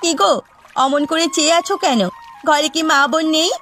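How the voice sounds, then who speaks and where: A woman voicing a defiant, teasing young village girl in a cartoon, quick to retort. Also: extremely high pitch, sharp tone, faint music behind. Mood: saucy. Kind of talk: Bengali cartoon dialogue.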